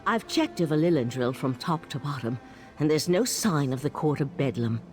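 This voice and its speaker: An actress playing a troubled, sardonic mage in a video game, speaking in a weary, confiding way. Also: female voice, low-pitched, lively intonation, moderate pace. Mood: brisk, dry. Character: troubled, sardonic female Psijic mage, grieving mother. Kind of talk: video game character dialogue. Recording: game music behind.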